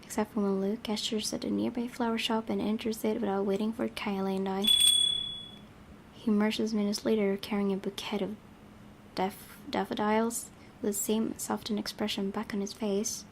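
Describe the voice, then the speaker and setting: A woman's voice: medium pitch, little pitch movement, moderate pace, vocal fry, darkish tone, a little hiss or hum. A woman hosting a let's play, reading a visual novel aloud and adding casual commentary. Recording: game music behind the voice.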